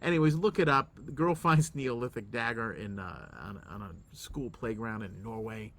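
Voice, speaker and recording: A man's voice: mid-range voice, moderate pace, fairly bright tone. A man co-hosting a casual podcast with his wife, dry and teasing in manner. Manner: dry, teasing, reads lyrics aloud. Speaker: podcast co-host. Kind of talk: casual chat.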